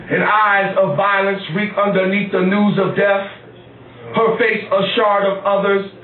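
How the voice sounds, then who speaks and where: Male poet, live reading, deliberate phrasing, slightly high voice, recorded far off in an echoey room. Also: narrow, tinny audio. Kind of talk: live spoken-word poetry reading. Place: small cafe poetry night, indoors.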